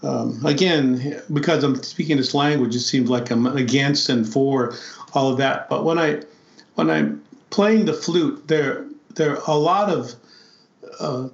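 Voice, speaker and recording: A reflective man talks slowly through his ideas, stopping and repeating words as he searches for them. His voice sits at an ordinary middle pitch. The sound is dull and lo-fi, with a roomy echo around it. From South Dakota, United States. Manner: thoughtful, halting, repeats words. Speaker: podcast guest, flute player. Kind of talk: reflective conversation.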